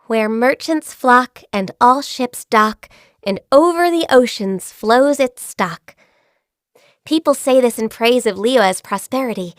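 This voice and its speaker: Casting: a high-voiced, shy, dutiful young game secretary, soft and earnest, dry studio read. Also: female voice, no room sound. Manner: soft-spoken, earnest, a little hesitant. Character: gentle, shy, diligent young female secretary. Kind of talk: video game character voice lines. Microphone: close studio mic.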